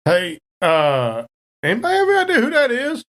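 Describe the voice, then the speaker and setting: A man's voice: very high voice, wildly swooping pitch, fairly quick, a bit bright, heavily acted. A man playing a flustered, folksy spaceship crew member who rambles hesitantly in a tabletop game. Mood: uneasy, flustered. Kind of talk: tabletop game role-play.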